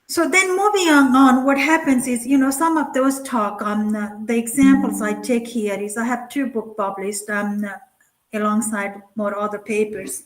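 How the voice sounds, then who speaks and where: Casting: middle-aged woman from Nepal, second-language English, slightly high voice, earnest keynote speaker with plenty of "um" and restarts. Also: steady tone, normal speed, rough recording. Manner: earnest, many fillers and restarts. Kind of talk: conference keynote talk.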